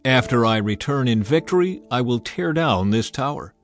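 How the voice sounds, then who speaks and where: Man acting stern, forceful ancient war leader. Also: medium pitch, animated, normal speed, faint music behind, close to an everyday voice. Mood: threatening. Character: bold male Israelite war leader, stern and commanding.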